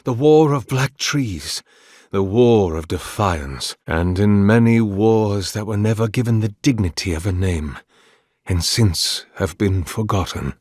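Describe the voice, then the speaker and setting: A slow, solemn, ancient priest. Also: male voice, fairly low pitch, darkish tone, no echo, clean studio sound. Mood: dignified, reflective. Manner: formal, measured, eloquent, solemn. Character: ancient male godlike priest, devout, weary, grieving, dignified. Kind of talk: dramatic video game dialogue.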